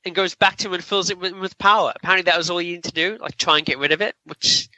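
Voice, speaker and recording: A man gives a casual plot recap on a podcast. His voice is strikingly bright and a little high for a man, and the sound is very dry, with no room echo. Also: fast talker. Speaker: podcast co-host.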